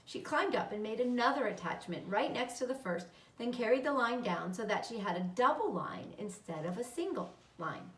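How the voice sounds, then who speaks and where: Female storybook narrator, higher-pitched voice, read-aloud delivery, roomy recording. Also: expressive, normal speed, few pauses, fairly bright tone. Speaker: storybook reader. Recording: roomy echo, slightly distant mic.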